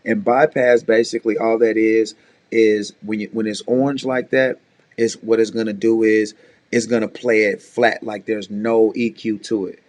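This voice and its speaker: A man with a deep voice, a music producer casually talking beginners through mixing, often saying "you know what I'm saying". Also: little pitch movement, normal speed, darkish tone. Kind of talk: casual screen-share tutorial.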